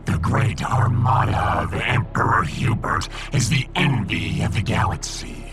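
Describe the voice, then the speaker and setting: A menacing emperor of man-eating alien fish. He speaks in slow, wildly swooping declarations, boastful and threatening, over loud music in a sci-fi audio drama. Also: fairly low pitch, heavily acted. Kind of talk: audiodrama villain acting.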